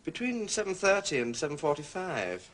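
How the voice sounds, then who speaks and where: An actor playing a blunt, slightly uneasy gentleman witness, clipped and defensive, in a period detective drama. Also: on the high side, very animated, normal speed. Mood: recounting.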